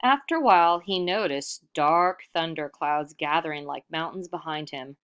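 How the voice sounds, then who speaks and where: A woman reading a children's picture book aloud expressively, pausing for casual comments and questions. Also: low voice, fairly bright tone, smooth, lo-fi sound. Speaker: storybook reader.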